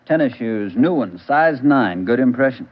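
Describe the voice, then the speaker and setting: A man's voice: medium pitch, animated, close to an everyday voice. Wry actor as crime lab man, muffled recording. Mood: matter-of-fact. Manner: brisk, wry, matter-of-fact. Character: dry, wry male police crime lab technician. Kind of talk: 1940s radio drama dialogue. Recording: muffled, hissy 1940s radio recording.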